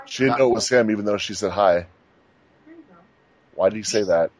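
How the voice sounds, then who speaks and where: A man's voice: lowish voice, moderate pace, crowd noise. A man giving casual, crude, wisecracking commentary on a film among friends. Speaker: co-host of a film-watching show.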